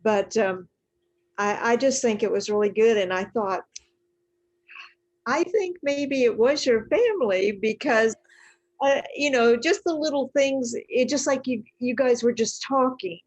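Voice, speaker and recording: An older woman, a retired teacher, talking casually and haltingly, pausing often between short phrases. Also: a little high, muffled recording. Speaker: retired high school teacher, show participant. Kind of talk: casual chat.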